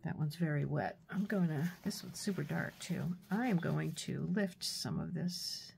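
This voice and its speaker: A woman with a stuffy nose from a cold, giving a relaxed, chatty painting tutorial with fillers and soft asides. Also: sits low, a little slow, creaky, slight background noise. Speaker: art tutor.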